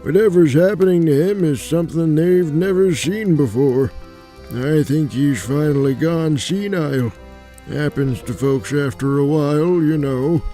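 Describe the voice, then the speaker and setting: Man acting a mellow, sardonic old jailbird. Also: on the high side, a little slow, soft background music, lightly acted. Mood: wry, world-weary. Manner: sardonic, familiar, casual. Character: wry, streetwise, chatty elderly dwarf jailbird. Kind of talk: tabletop fantasy audio drama acting.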